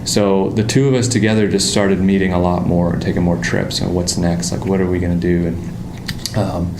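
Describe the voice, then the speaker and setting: A man with a Pittsburgh accent and a very low voice tells his story in a loose, rambling way, full of ums and restarts. The room is roomy and echoey, and a steady background noise sits under his voice. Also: moderate pace, creaky. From Pittsburgh area, United States. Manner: relaxed storytelling with many fillers and restarts. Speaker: motorcycle designer, guest. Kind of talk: casual interview conversation.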